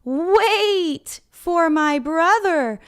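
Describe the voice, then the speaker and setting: A woman voicing a small, timid young goat in a storybook, in a squeaky, pleading voice. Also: lively intonation, very slow, full character voice. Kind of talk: storybook read-aloud.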